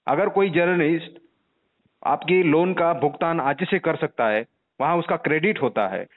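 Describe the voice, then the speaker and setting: A man's voice: on the high side, moderate pace. A man making an insistent, indignant complaint as a journalist over the phone, with repeated pointed questions. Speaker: journalist caller complaining to a call centre. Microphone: telephone. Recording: muffled narrow-band phone call recording.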